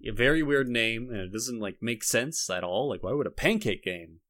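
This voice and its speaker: A man's voice: medium pitch, animated, moderate pace, a bit bright, no room sound, clean studio sound. A man co-hosting a podcast in casual chat, rambling and often cutting his own sentences off to start again. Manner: rambling, self-interrupting. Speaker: podcast co-host.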